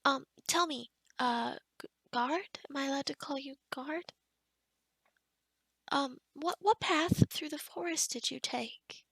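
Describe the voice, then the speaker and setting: A woman's voice: sits high, takes it slowly, vocal fry, a bit bright, no room sound, full character voice. A woman playing a kind, scatterbrained forest witch in an ASMR roleplay, rambling and muttering to herself with whispery asides. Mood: friendly, helpful.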